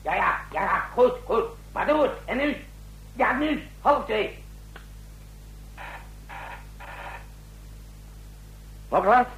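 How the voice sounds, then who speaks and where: An actor playing a brisk, wry, commanding president in a radio drama, giving curt orders in a husky voice. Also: male voice, very high-pitched, animated, a bit bright, heavily acted. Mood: urgent, insistent. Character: commanding, brisk, wry president rousing his generals at night.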